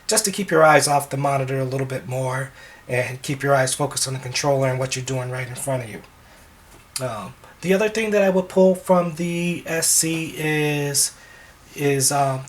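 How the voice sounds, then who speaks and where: Slightly hoarse man rambling about DJ gear. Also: mid-range voice. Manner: relaxed and rambling, many ums and restarts. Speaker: wedding DJ reviewing gear. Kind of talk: casual gear review.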